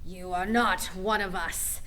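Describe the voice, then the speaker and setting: A woman's voice: on the low side, fairly even intonation, measured pace, pushed and bright, slight background noise. A woman playing a fierce, accusing alien loyalist in a radio play, haughty, combative and formal. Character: fierce, accusing female alien loyalist guarding her queen. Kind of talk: full-cast radio play acting.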